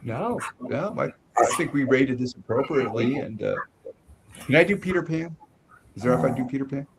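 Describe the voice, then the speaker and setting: A man giving a casual movie review as a show host, haltingly searching for his words. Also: medium pitch, animated, heavy background noise.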